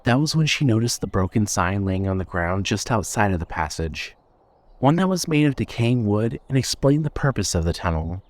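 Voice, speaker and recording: A man reads scripted fiction as narrator. His voice sits on the low side, with a measured, suspenseful delivery. The recording is dry and close. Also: big pitch swings, darkish tone, dry recording.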